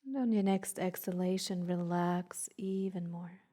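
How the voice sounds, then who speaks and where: Soft, mellow woman guiding meditation. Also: on the low side, steady tone. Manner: soothing, unhurried, gently instructing with long pauses. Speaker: guided meditation teacher. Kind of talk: slow guided meditation.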